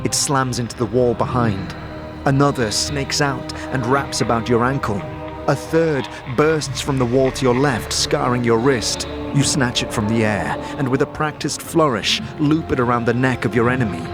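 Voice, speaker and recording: A man's voice: medium pitch, normal speed, over music. A man narrating a fantasy audio drama in the second person, with vivid, suspenseful storytelling.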